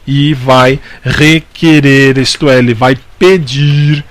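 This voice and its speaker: A man's voice: mid-range voice, fairly even intonation, takes it slowly, no echo. A Brazilian man giving an informal exam-prep law lecture, repeating key points and answering his own questions in a didactic way. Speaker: law teacher.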